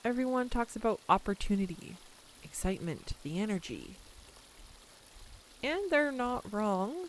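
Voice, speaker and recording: A woman playing a slow, wistful returning childhood friend. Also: mid-range voice, lively intonation, slight background noise, natural voice, rough recording. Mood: wistful, reflective. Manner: hesitant, reflective, confiding, with pauses. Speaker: audio roleplay voice actor. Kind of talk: intimate scripted audio roleplay monologue. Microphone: close USB desktop microphone.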